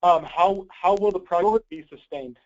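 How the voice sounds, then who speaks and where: A man with a fairly high voice leads a conference call, presenting and fielding questions. He is informal and obliging. The audio is dull and low-fi, as on a group call line. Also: moderate pace, darkish tone. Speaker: host, grant program coordinator.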